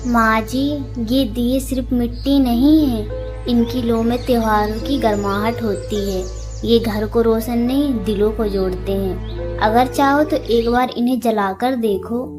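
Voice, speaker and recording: A woman's voice: fairly high pitch, fairly even intonation, measured pace, over music, close to an everyday voice. A woman voicing a potter's brave, loving, earnest young daughter in a cartoon story.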